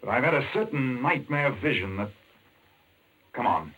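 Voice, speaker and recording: A man's voice: mid-range voice, sing-song, moderate pace, sharp tone, husky, highs cut off, heavily acted. An actor playing a shrewd, dry, brooding man in a 1940s radio crime drama. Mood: grim, brooding.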